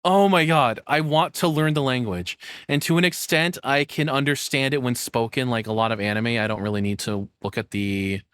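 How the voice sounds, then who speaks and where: A man's voice: medium pitch, moderate pace, few pauses, fairly bright tone, smooth, dry recording, clean studio sound. A man doing casual, informal reaction commentary as a streamer, swearing freely. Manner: informal, profane.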